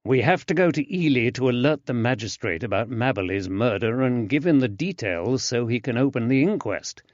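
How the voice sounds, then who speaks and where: A man voicing a calm, shrewd detective who speaks in a measured, even-tempered way, in a dull, dry audiobook recording. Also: mid-range voice, dry recording, lightly acted.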